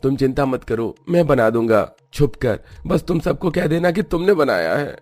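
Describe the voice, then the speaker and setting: A man's voice: medium pitch, expressive, normal speed, a little mellow, soft background music, pitched above the performer's own voice, lightly acted. A man playing a nervous, sheepish young husband in a cartoon, with an anxious, pleading manner. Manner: stammers when flustered, pleading.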